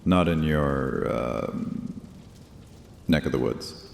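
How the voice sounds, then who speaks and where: Man acting a very deep, sardonic vampire priest. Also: drawn-out words, gravelly, exaggerated voice. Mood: dry, amused. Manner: theatrical, dryly witty, drawling politeness. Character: sardonic, courtly male vampire high priest, menacingly protective. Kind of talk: dramatic cozy horror audio drama. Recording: echoey hall, low rumbling noise.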